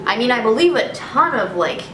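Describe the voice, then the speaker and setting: A woman's voice: animated, fairly quick, far from the mic. A young woman hosting a casual show, reading news aloud with irreverent, sarcastic, sweary commentary. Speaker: host. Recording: echoey room with noticeable rumbling noise.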